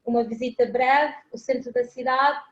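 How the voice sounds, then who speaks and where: A high-pitched woman with a European Portuguese accent talks about safari routes over a video call. She hesitates a lot and keeps filling gaps with "pronto". The sound is roomy and dull, like lo-fi call audio. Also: fairly even intonation, normal speed. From South Africa. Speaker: safari company manager. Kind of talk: interview answers and route presentation.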